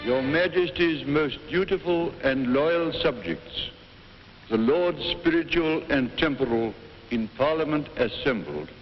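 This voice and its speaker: A British man giving a formal ceremonial address in stately, measured phrases. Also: fairly high pitch, lively intonation, soft background music. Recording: echoing large hall, dull hissy archive film.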